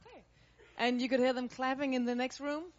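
A woman moderating a workshop Q&A, brisk and lightly joking. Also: sits high, steady tone, highs cut off. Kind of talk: running a Q&A session.

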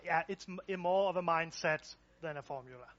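Man with a higher voice. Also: brisk, a bit bright, highs cut off. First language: Danish. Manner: restarts and repeats words, plainspoken. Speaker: workshop presenter. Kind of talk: answering audience questions.

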